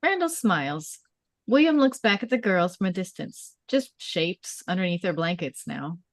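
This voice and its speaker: A woman's voice: fairly low pitch, lively intonation, moderate pace, no echo, studio quality. A woman giving scripted narration, reading out stage directions at a table read.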